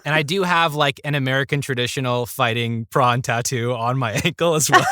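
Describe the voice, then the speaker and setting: Bright-voiced man, jokey podcast guest. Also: medium pitch, huge pitch swings, moderate pace, no echo, clean studio sound. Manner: jokey, self-deprecating. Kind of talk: casual chat.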